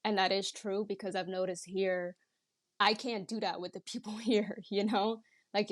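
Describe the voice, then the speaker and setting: A woman's voice: medium pitch, no room sound, clean studio sound. A young woman from the Netherlands, chatting casually and reflectively as a podcast guest. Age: young adult. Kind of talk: casual reflective interview chat.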